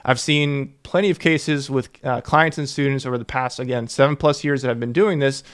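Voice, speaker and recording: Young male finance educator, mid-pitch, earnest, stresses key numbers. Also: fairly even intonation, brisk, clean tone. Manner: earnest, repeats key figures for emphasis. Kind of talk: explainer to camera.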